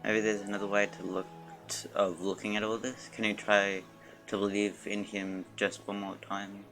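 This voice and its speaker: A man's voice: on the low side, monotone, normal speed, over music, rough recording. A man live-streaming a video game, commenting and reading dialogue aloud.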